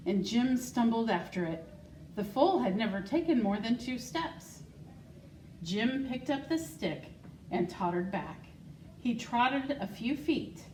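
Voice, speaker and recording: Female storybook reader, unhurried and deliberate, roomy sound with faint background noise. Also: fairly high pitch, darkish tone, far from the mic. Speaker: school secretary, guest storybook reader. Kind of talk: reading a children's picture book aloud.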